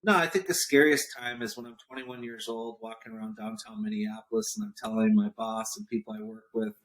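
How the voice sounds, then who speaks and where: A man's voice: medium pitch, animated, fairly quick, fairly bright tone, poor audio. A man in his forties talking casually as an interview guest, emphatic and repeating his points for effect, with an occasional cough. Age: 40s. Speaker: podcast guest, entrepreneur.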